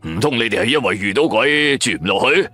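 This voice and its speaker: A man's voice: medium pitch, expressive, brisk, bright, forceful tone, faint music behind. A man voicing a chatty, boastful middle-aged night taxi driver in a Cantonese audio drama, hearty and bragging. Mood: nosy.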